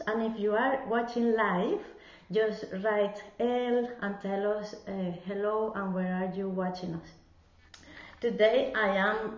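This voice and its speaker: Slow, hesitant woman hosting a live stream. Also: medium pitch, echoey room, highs cut off. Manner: slow and hesitant, with many ums. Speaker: host of a live online show.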